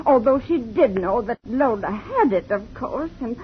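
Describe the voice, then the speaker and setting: An actress playing a distraught older spinster friend, fussy and flustered, in a 1950s radio drama. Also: female voice, on the high side, lively intonation, unhurried, slight background noise. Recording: dull lo-fi 1950s radio broadcast.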